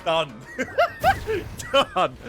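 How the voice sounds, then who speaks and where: A man's voice: high voice, huge pitch swings, extremely slow, music in the background. A man commentating a game playthrough, chatty and jokey, often laughing. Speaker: gaming streamer. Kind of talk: let's play commentary.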